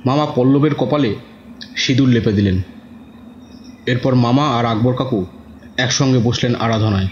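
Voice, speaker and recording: A man's voice: medium pitch, normal speed, pauses often, a little mellow, slight background noise. Male horror narrator in a roomy sound. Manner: dramatic, suspenseful. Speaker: first-person narrator. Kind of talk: scripted horror story narration. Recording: roomy, muffled audio with music.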